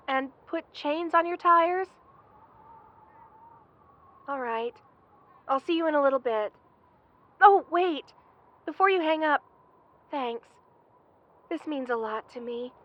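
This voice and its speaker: A woman voicing a young lamia best friend in an intimate ASMR roleplay, affectionate and hesitant, with a soft-hearted manner. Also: high-pitched, lo-fi sound. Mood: sheepish, caring.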